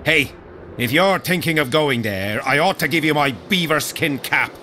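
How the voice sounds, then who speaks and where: An actor playing an embittered veteran soldier in a video game, telling of war in a grim, coarse-tongued way. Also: male voice, a little high, animated, moderate pace, pushed and bright, a little hiss or hum. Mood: bitter. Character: embittered veteran soldier, gruff survivor of a lost regiment. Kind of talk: dramatic video game acting.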